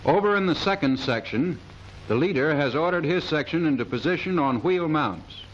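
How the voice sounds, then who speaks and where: A man's voice: fairly high pitch, lively intonation, moderate pace. A man reading scripted military instructional narration in a formal, precise manner, in the style of a 1930s training film. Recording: dull, hissy 1930s film soundtrack.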